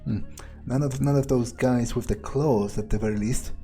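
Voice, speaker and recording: A man playing a cold, predatory vampire who thinks aloud in a halting, muttering way during a game. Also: mid-range voice, fairly even intonation, warm tone, soft background music, lightly acted. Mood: musing, puzzled. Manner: slow, halting, muttering to himself. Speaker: player role-playing a character. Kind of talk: in-character game playthrough monologue.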